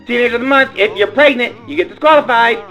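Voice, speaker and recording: A man's voice: very high voice, fast, bright, forceful tone, faint music behind, heavily acted, low-quality recording. A man voicing a perky, naive, self-absorbed small-town girl in an improvised comedy radio play, rambling in folksy gosh-golly talk. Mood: eager, showy.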